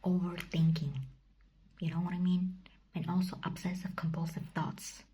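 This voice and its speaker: Young woman whispering a vlog, breathy and low, hesitant, stops a lot to find words. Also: level tone, normal speed, dark tone. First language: Kazakh. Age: young adult. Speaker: vlogger. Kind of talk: casual personal vlog.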